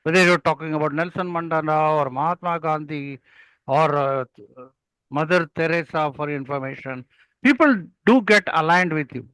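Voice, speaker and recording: A man's voice: on the high side, moderate pace, a bit bright, smooth, no room sound, poor audio. An older man with an Indian accent, speaking as a mentor in an interview and telling anecdotes in an emphatic storytelling way. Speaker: public speaking mentor and guest.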